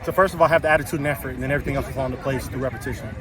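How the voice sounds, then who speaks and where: A young man, a college offensive lineman with a loud, carrying voice, answering interview questions outdoors after practice in a rapid, repetitive way full of fillers. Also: mid-range voice, fairly even intonation. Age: young adult. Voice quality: loud voice that carries. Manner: rapid, filler-heavy, repeats words. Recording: outdoor media scrum with crowd chatter.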